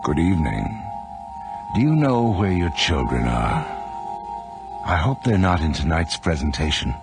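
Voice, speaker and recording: Radio horror: ominous male host, very low, slow delivery over music. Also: sing-song, exaggerated voice. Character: sinister, sardonic horror anthology host.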